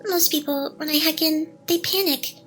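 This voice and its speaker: High-pitched, lovestruck girlish AI hacker played by a woman. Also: steady tone, soft background music. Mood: teasing confession. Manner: breathy sighs, soft teasing, halting confessions. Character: playful lovestruck female AI hacker, possessive and teasing. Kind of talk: intimate ASMR roleplay acting.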